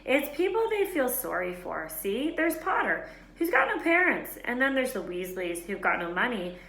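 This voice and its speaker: A woman's voice: a little high, very animated, moderate pace, a bit bright, voice pushed up. A woman voicing a spoiled, sneering schoolboy bully with a mocking, taunting delivery. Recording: roomy echo, faint background hiss.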